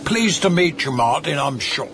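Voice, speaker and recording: An actor playing a jovial, roguish pub-drinking ghost with old-fashioned, breezy speech in an audio drama. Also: male voice, a little high, expressive, moderate pace, background noise, lightly acted. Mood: breezy, evasive.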